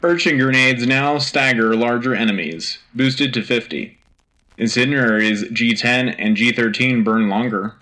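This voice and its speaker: A man playing an earnest, patriotic radio anchor reading military news in a crisp, urgent, official manner. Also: mid-range voice, unhurried, sharp tone, rough recording. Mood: brisk, official. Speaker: radio host. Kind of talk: scripted military news bulletin.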